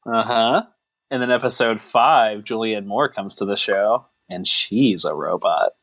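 A man's voice: fairly low pitch, a little slow, a bit bright, dry recording. A man co-hosting a podcast in casual chat, rambling and joking with a mock-serious manner. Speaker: podcast co-host.

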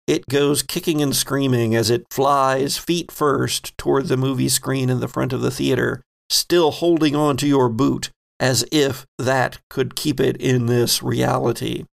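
Male game master, unhurried, gravelly fry, suspenseful descriptive storytelling. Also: mid-range voice, fairly even intonation. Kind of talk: improvised narration of a tabletop horror game.

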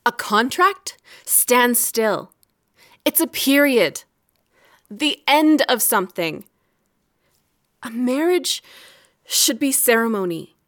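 Wronged wife in an audio drama, high voice, real slow n grave, accusing. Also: female voice, expressive, fairly bright tone. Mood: solemn.

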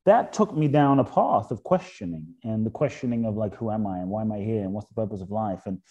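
A middle-aged man from Ghana, a guest author speaking in a thoughtful, halting way about spiritual ideas on a podcast. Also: on the low side, animated, dark tone, slightly rough, muffled recording. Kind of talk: reflective podcast conversation.

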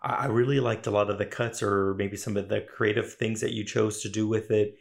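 Low, gravelly-voiced male podcast host. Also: fairly even intonation, fairly quick. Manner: friendly, hesitant, many fillers and restarts. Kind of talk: casual interview chat.

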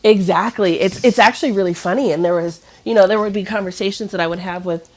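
A woman's voice: medium pitch, quick pace, a little mellow. A woman in her thirties chatting casually, sounding stuffy and nasal from a cough, rambling with many fillers and restarts. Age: 30s. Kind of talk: casual chat.

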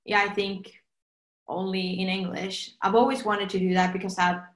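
A woman's voice: medium pitch, level tone, normal speed, echoey room. A young woman from Norway with a Norwegian accent, a singer chatting casually in an interview and saying "like" all the time. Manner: chatty, says "like" constantly.

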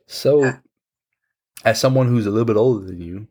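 A man's voice: fairly low pitch, normal speed, a little mellow, breathy edge. A man in his thirties in casual conversation, giving frank, blunt advice and swearing now and then. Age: 30s. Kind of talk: casual chat.

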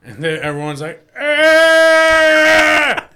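Bright, bantering high-voiced man. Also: very animated, very slow. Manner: joking, teasing, acts out bits. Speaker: podcast host. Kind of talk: casual podcast banter.

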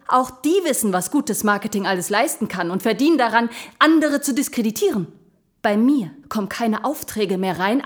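An actress playing a vain, seductive milk carafe in an audio drama, purring and condescending like an aging advertising diva. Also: female voice, mid-range voice, close to an everyday voice. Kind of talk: scripted audio drama acting.

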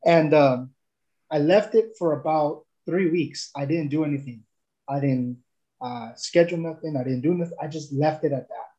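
A young man from El Salvador tells his own story in a loose, rambling way, often stopping to restart. His voice sits a little high but sounds mellow and warm. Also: moderate pace, highs cut off. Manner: rambling, with many fillers and restarts. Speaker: guest giving a testimonial. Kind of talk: casual testimonial chat.

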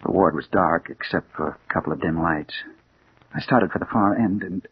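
An actor playing a hard-boiled American detective, narrating and acting with dramatic intensity in a 1940s radio crime drama. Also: male voice, deep voice, moderate pace, breathy edge. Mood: tense, hushed. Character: hard-boiled male private detective bent on revenge. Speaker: narrator and lead character. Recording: muffled old radio recording with hiss.

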